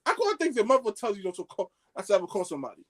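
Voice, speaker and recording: Casting: male podcast co-host, very high voice, swooping intonation, excitable street-slang storyteller who acts out both sides of conversations. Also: rapid-fire. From Haitian background. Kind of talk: animated comedic storytelling among friends.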